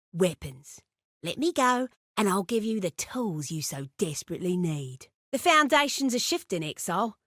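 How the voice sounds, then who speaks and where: A cruel, calculating female assassin from a video game. Her speech is deliberate and silky, full of mockery and menace, with a mid-range pitch that swings expressively. The sound is dry and studio-tight. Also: no echo. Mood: sly, defiant. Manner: taunting, deliberate, theatrical, silkily menacing. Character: cunning, cruel female syndicate assassin, coolly mocking villain. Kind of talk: video game villain voice lines.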